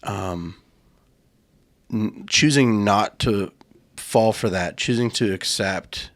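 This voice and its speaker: A man's voice: fairly low pitch, slow, dry recording. A man hosting a podcast, talking earnestly in casual chat, thinking aloud and restarting his sentences as he goes. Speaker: podcast host.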